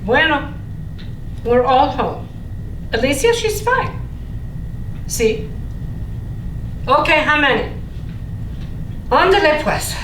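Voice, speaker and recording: A woman playing a brisk, strained mother who mixes in Spanish, in a staged play reading. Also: sits high, sing-song, exaggerated voice. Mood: curt, strained. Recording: distant mic in echoey room, faint noise.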